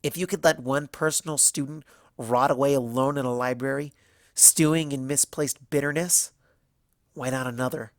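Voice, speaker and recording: A narrator voicing an indignant young female unicorn student in a story, sardonic and accusing, with sharp, cutting questions. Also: medium pitch, fairly even intonation, moderate pace, dry recording, clean studio sound, lightly acted. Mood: bitter, hurt.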